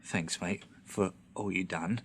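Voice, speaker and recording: A man's voice: low-pitched, fairly even intonation, a little hiss or hum. An actor playing a plain-spoken, friendly working-class British man in a radio drama, speaking hesitantly. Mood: weak, resigned. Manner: hesitant, halting.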